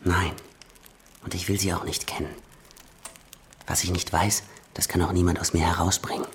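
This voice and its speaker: A man's voice: deep voice, flat delivery, moderate pace, a little hiss or hum. An actor playing an earnest, cautious journeyman who instructs a friend urgently and protectively in a German radio play. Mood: firm.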